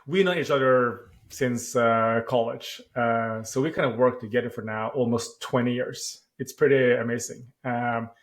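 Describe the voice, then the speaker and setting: A man in his forties from Norway with a Norwegian accent, a founder answering podcast interview questions thoughtfully, often pausing with "uh" and restarting phrases. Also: medium pitch, fairly even intonation. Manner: thoughtful, with frequent "uh" pauses and restarts. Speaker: startup founder.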